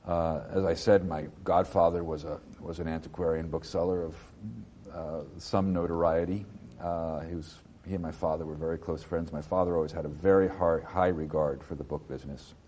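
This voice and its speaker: A man in his fifties from New Jersey, a bookseller being interviewed, speaking in a halting way with many fillers and restarts. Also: low voice, fairly even intonation, mellow, slight background noise. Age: 50s. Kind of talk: casual interview.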